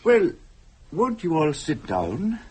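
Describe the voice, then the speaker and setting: A grave Indian psychic in a radio thriller, speaking with an Indian accent and formal courtesy. His pitch moves dramatically as he weighs each phrase. There is faint noise behind the voice. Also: huge pitch swings, full character voice. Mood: courteous. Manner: formal, deliberate, theatrical.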